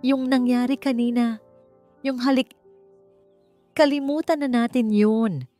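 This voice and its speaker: A woman's voice: a little high, fairly even intonation, a little slow, warm tone, soft background music, pitched above the performer's own voice, close to an everyday voice. A woman voicing a timid, hesitant young woman fighting her romantic feelings. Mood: flustered, evasive.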